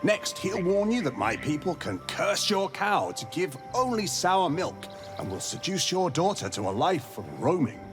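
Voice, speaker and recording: An actor playing a courteous, wry wanderer who hunts monsters in a video game, speaking like a measured storyteller. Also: male voice, high voice, sing-song, music in the background. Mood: wry, mocking. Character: wry, weary wandering male monster hunter, courteous trickster.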